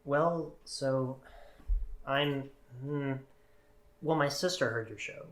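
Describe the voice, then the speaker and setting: A man plays an earnest male guest in an audio drama, holding an eerie secret. He has a mid-pitched voice and speaks hesitantly, as if being interviewed. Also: slow, fairly bright tone, rough recording. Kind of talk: scripted podcast drama, interview format.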